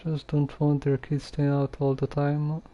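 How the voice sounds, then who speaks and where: A game streamer giving casual live commentary in a hesitant, halting, subdued way. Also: medium pitch, completely flat delivery, warm tone, highs cut off.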